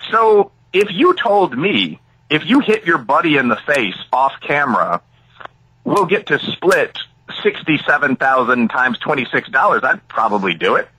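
A man from the Chicago area answers interview questions in a strikingly bright, slightly husky voice. His pitch rises and falls a lot as he works through ideas aloud with many asides. The sound is close and dry. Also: big pitch swings, unhurried, dry recording, poor audio. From Chicago area, USA. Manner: expansive, thinks aloud with frequent asides. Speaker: author and academic guest.

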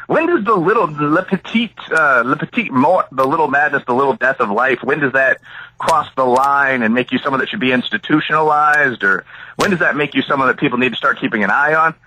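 A man's voice: mid-range voice, a little fast, sharp tone, a little hiss or hum, poor audio. A man from the Chicago area, an author and academic, answering interview questions expansively and thinking aloud with frequent asides. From Chicago area, USA.

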